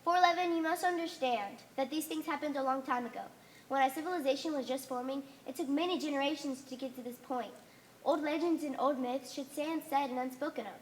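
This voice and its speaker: Young woman as a stern, high-voiced cult leader. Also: fast, a little hiss or hum, close to an everyday voice, low-quality recording. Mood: solemn, preaching. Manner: solemn, commanding, declamatory. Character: stern authoritarian female religious leader of a conformist society. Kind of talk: amateur one-act stage play acting. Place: theater stage.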